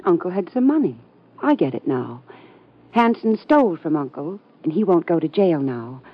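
An actress playing a dry, sardonic young niece in an old radio drama, on a muffled old recording. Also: female voice, fairly low pitch, very animated, normal speed, slightly rough.

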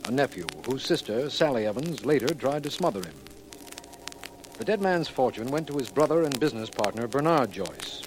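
An actor playing a formal, official district attorney narrating a case in a 1940s radio drama. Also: male voice, medium pitch, close to an everyday voice. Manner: formal, recapping. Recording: noisy old radio drama recording.

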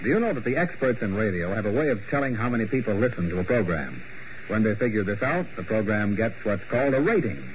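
Male radio host, vintage broadcast, sly macabre wit, animated pitch, dull lo-fi recording. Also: fast talker. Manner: sly, mocking, punning with grim jokes. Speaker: program host and announcer. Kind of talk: 1940s radio hosting. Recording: muffled old radio broadcast with faint hiss.

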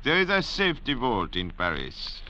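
A man acting in a 1940s radio drama as a cold, haughty French doctor and murderer, speaking in a measured, oratorical way on an old radio recording. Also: mid-range voice, wildly swooping pitch, bright, forceful tone, exaggerated voice. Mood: calmly assured. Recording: dull, noisy vintage radio recording.

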